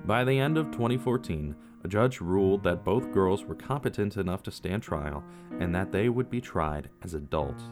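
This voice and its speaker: A man giving scripted true crime narration, measured, with casual asides to the viewer. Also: sits low, expressive. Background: faint guitar music.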